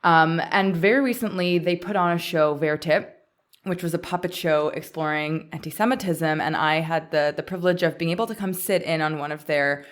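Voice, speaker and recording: A woman's voice: deep voice, moderate pace. A woman hosting a podcast in a conversational interview style. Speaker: podcast host.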